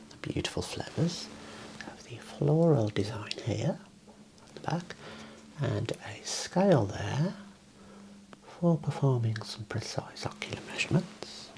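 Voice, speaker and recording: A man whispering slowly, gravelly. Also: mid-range voice, poor audio. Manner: slow, whispered, soft-spoken instructions; gentle praise between steps. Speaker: ASMR creator playing a clinician. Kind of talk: ASMR medical exam role play.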